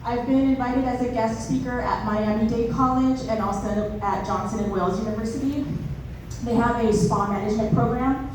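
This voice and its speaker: A woman's voice: a little high, fairly even intonation, moderate pace, darkish tone. A woman acupuncturist who grew up in Southern California, chatty and upbeat, laughing often as she explains procedures step by step to a small audience. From born in Israel, grew up in Southern California. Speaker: acupuncturist and naturopathic doctor. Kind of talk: casual presentation and demonstration. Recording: echoey room, voice from a distance, noisy.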